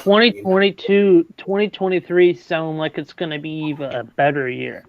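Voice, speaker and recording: A man's voice: a little high, level tone, moderate pace, slight background noise. A man in his late twenties hosting a casual livestream chat, stumbling and repeating words and laughing often. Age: 20s. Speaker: livestream host. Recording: dull lo-fi, dry.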